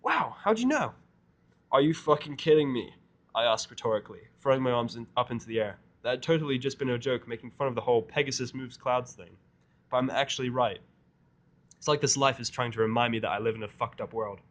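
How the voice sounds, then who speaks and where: A male narrator reads a first-person fan story in a mid-pitched voice. His telling is sardonic, with a grumbling edge. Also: fairly even intonation, fast.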